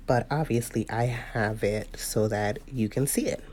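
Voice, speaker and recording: Woman, stuffy-nosed painting teacher, mellow tone, easygoing and chatty with viewers. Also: very deep voice, unhurried. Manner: chatty, laughs often, talks to viewers. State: stuffy nose, sniffly. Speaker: watercolor painting instructor. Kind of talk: casual live tutorial talk.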